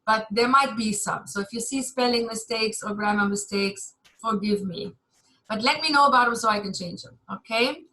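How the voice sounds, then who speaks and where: A woman's voice: fairly high pitch, moderate pace, pushed and bright, poor audio. A German woman lecturing a university class over a video call, chatty and candid, with some self-deprecating humor.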